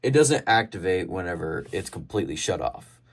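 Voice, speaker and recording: Low-voiced young American man, rambling casually. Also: lively intonation, gravelly. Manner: halting, self-correcting, rambling. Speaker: hobbyist showing a radio collection. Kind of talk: casual show-and-tell vlog. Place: indoors at home by a desk.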